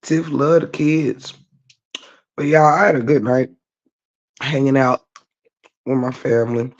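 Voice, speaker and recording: A woman with a naturally low voice that is scratchy today, chatting laid-back to viewers on a livestream from her bed. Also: fairly even intonation, unhurried. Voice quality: low-toned voice. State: scratchy voice after a night of singing. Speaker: livestream host. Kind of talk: casual chat greeting viewers. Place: lying in bed at home. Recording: dull lo-fi stream audio.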